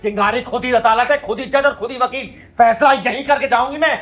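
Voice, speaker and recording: A man's voice: very high voice, fairly even intonation, fairly quick, fairly bright tone. A man acting a fierce, protective kinnar elder who scolds, threatens and boasts in a melodramatic short film. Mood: defiant, commanding. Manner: scolding, threatening, boastful, speaks of self by name. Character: Chingari, fierce kinnar (transgender woman) avenger, protective elder.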